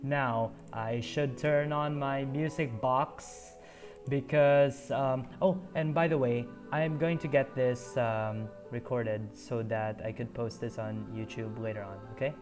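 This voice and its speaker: A man streaming a video game, chatting casually and haltingly with lots of ums and uhs. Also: medium pitch, steady tone. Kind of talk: casual gameplay commentary. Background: game music.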